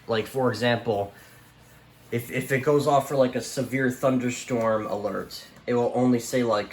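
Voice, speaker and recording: A man talks off the cuff in a roomy, echoing space with some background noise. He presents casually like a hobbyist showing a collection, hesitating, rambling and letting sentences trail away. His pitch sits in an ordinary middle range. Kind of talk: casual unscripted show-and-tell.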